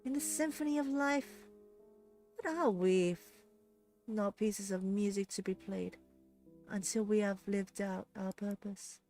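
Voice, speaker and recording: A woman voicing a mysterious woman villain with an obsessive, flippant and coldly teasing way of talking, raised somewhat above her own pitch. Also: unhurried, mellow, faint music behind, lightly acted. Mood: callous. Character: menacing, mocking woman villain speaking over a speaker.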